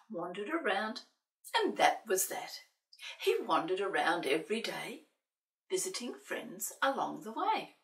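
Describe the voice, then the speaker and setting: Older female storyteller for young children, highly animated pitch, playful sing-song questions, recorded in a roomy space away from the mic. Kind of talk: animated picture-book reading with asides to young children. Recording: roomy echo, distant microphone.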